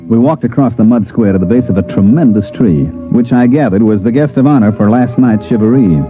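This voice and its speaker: A man's voice: medium pitch, brisk, lightly acted. An American actor playing a dry, wisecracking special agent who narrates his case in a 1950s radio science fiction drama. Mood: wry narrating. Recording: dull vintage radio recording, music behind.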